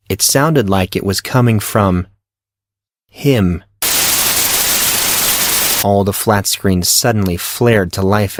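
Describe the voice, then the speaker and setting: Lower-pitched man narrating fiction. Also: no room sound, studio quality.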